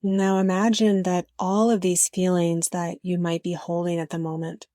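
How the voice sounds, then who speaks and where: A woman narrating a guided meditation in a gentle, unhurried way with frequent pauses. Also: fairly low pitch, little pitch movement, no room sound, clean studio sound.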